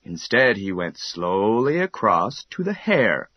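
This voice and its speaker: A man narrating a children's bedtime story in an expressive, measured storytelling manner. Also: lowish voice, slightly rough, no room sound, dull audio.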